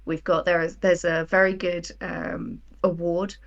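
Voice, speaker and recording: A woman's voice: on the low side, little pitch movement, a little slow, vocal fry, muffled recording. A woman, talking as an interview guest in a conversational, enthusiastic, explanatory way. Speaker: guest, audio drama writer and producer.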